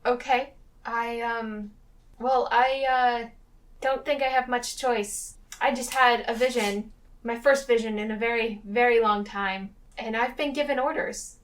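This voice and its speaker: A woman's voice: on the high side, steady tone, measured pace, recorded from a distance, natural voice. A woman playing an earnest, hesitant female seer in a tabletop fantasy game, polite and tentative as she questions others.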